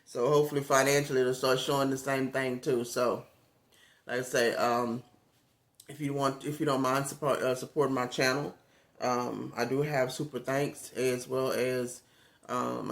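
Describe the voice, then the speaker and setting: A man with a raspy, gravelly voice talks casually into his phone as a content creator. He rambles in a relaxed way, full of fillers, at an everyday middle pitch. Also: normal speed. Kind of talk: casual talk to camera.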